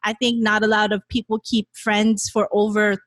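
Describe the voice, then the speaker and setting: A woman's voice: medium pitch, level tone, normal speed, dry recording. Woman, 30s, podcast host, chatty, "you know" fillers, dry close sound. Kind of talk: casual podcast chat. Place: bedroom in a friend's home.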